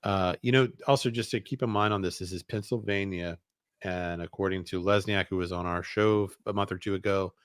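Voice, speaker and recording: A man's voice: sits low, fairly even intonation, fairly quick, clear, resonant tone, no room sound, studio quality. A man hosting a show, reading documents aloud and adding casual commentary with hesitant asides. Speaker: show host.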